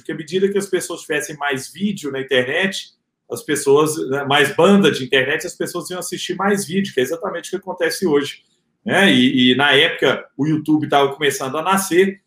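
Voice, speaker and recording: A Brazilian man with a Rio de Janeiro accent, telling an entrepreneur's story in a relaxed, slangy way with lots of fillers. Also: fairly high pitch. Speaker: entrepreneur guest. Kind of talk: casual interview storytelling. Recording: echoey room.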